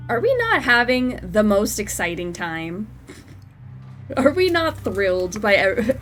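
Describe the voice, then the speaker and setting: A woman streaming a horror game, chatting casually with her viewers and laughing often, her nose stuffed up from a cold. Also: medium pitch. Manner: chatty, giggly, reads in-game notes aloud. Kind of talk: casual live-stream commentary.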